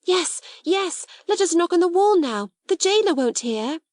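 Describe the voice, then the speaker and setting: A woman's voice: high voice, animated, moderate pace, a little mellow, no echo, clean studio sound, voice pushed up. A woman voicing an eager, impulsive, generous schoolgirl in a storybook.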